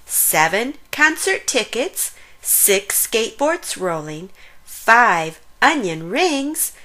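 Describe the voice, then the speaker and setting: A woman reading a rhyming children's picture book aloud in an animated, sing-song way with drawn-out emphasis. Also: a little high. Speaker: children's story reader.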